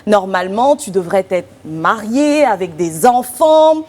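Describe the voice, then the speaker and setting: Deliberate woman co-host asking long questions. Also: mid-range voice, lively intonation, darkish tone. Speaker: co-host panelist. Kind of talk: talk show questioning.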